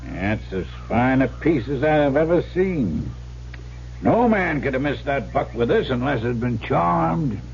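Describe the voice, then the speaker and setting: Gravelly, lively old soldier. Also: male voice, mid-range voice, measured pace. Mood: chatty, sly. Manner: hearty, chatty, old-fashioned rustic turns of phrase. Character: folksy, sly old soldier with a wooden leg. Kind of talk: old-time radio drama acting. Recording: muffled, lightly noisy old radio broadcast.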